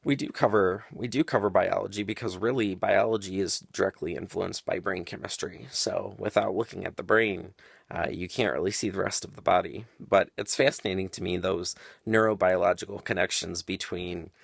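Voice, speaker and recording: A young man with a deep voice talking casually to camera, explaining his views at length with frequent ums and side tangents. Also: fairly even intonation, moderate pace, vocal fry, no echo, studio quality. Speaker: vlogger.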